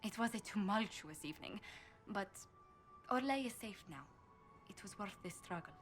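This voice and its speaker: A woman voicing a courteous, caring diplomatic adviser in a video game, with a polite and gentle manner. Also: mid-range voice, animated, moderate pace, faint music behind, natural voice. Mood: concerned, tender. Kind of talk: dramatic video game acting.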